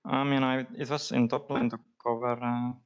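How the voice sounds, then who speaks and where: A man's voice: medium pitch, level tone, normal speed, clear, resonant tone, highs cut off. A man being interviewed after a match as an esports player, giving brief and hesitant answers.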